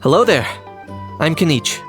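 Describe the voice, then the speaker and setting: A man voicing a laconic, unbothered young companion in a video game, with a dry, deadpan manner. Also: on the high side, moderate pace, music in the background.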